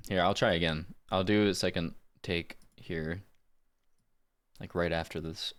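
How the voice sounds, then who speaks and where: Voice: sits low, fairly even intonation, unhurried, clean tone. A voice actor hosting a livestream, talking casually between takes.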